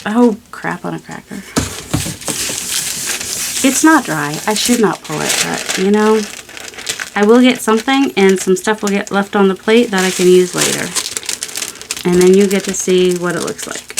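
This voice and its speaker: A woman with a mid-range voice chats casually as she crafts. She rambles, talking to herself and playfully scolding herself. The recording is clearly noisy, with clicks and paper crinkling behind her. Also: medium pitch, normal speed. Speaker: craft video host. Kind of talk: casual crafting chat while working.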